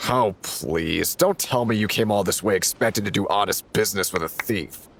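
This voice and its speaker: An actor playing a cocky, mocking male gang thug in a video game, with a gravelly voice. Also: lowish voice, normal speed, a bit bright, a little hiss or hum. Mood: scornful. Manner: mocking, taunting. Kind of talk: video game character acting.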